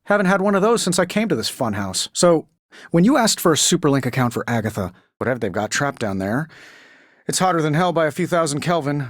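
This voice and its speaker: An actor voicing a cocky, wisecracking genius inventor superhero in a video game, with an American accent and a sarcastic, glib delivery. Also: male voice, medium pitch, dry recording, studio quality, close to an everyday voice. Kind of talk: video game character voice lines.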